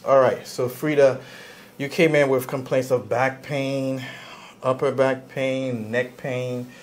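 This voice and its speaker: A middle-aged male chiropractor talks a patient through an exam at an average pitch. He keeps checking in with short questions. Some room noise sits behind his voice. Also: level tone, takes it slowly. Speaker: chiropractor, video host. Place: small indoor clinic treatment room. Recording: clear background room noise.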